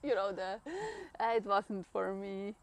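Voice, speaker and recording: A woman's voice: medium pitch, level tone, unhurried. Swiss woman, chatty and often laughing. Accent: Swiss German. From Switzerland. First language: Swiss German. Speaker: guest runner. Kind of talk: casual interview talk.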